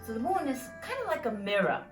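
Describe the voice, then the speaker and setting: Echoey, higher-voiced woman storyteller. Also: sing-song, fast talker, over music. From Singapore. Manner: encouraging, instructive. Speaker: storyteller, presenter for children. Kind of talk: interactive children's storytelling chat. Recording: echoey room, distant mic, faint noise.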